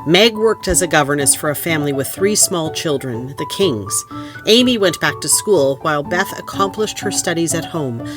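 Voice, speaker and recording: A woman's voice: sits low, animated, moderate pace. A woman narrator delivering scripted storybook narration for an audio play. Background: music.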